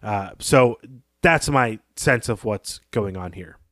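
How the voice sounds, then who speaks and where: A man co-hosting a podcast, talking through literary analysis in a conversational, measured and analytical way. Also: on the low side, expressive, fairly bright tone, dry recording, clean studio sound. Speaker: podcast co-host.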